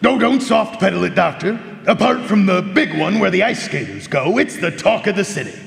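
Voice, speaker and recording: Man playing high-pitched, gravelly radio private eye. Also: big pitch swings, exaggerated voice. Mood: theatrical, explaining. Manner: formal, measured, showman-like explaining. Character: theatrical male private eye, clever, courteous, methodical. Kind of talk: live radio mystery drama acting. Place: live performance hall. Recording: reverberant stage in a large hall.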